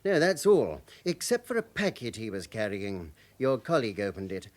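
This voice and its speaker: Formal male doctor, animated pitch. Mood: precise. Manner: formal, precise, measured. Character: formal, careful male hospital casualty doctor. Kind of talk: radio drama acting.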